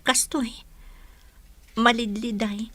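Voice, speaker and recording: A woman's voice: on the high side, steady tone, slow pace, fairly bright tone. A woman playing a meek, sorrowful young village daughter in a radio drama, speaking with an Ilocano accent. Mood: hurt, downcast.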